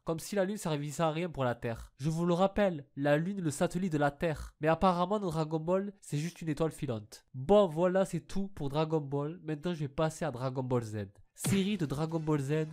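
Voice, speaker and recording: A man with a somewhat high voice hosts a casual commentary on cartoons. He is teasing and jokey, speaking directly to the characters he talks about. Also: moderate pace, hardly any pauses, darkish tone. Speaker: video host commenting on cartoon scenes.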